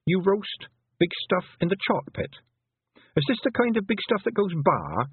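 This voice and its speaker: A man's voice: sits high, wildly swooping pitch, normal speed, slightly rough, no room sound, voice pushed up, full character voice. Young girl witch done by a man, earnest, raised eager voice, lo-fi phone sound. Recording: tinny, phone-like lo-fi audio.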